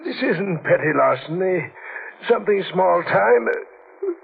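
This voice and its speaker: Nervous fat crook, creaky voice, hesitant stammer, 1940s radio drama, muffled narrow recording. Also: male voice, high-pitched, expressive, measured pace, full character voice. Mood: frightened, pleading. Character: nervous fat double-crossing small-time panhandler. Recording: noisy band-limited old radio recording.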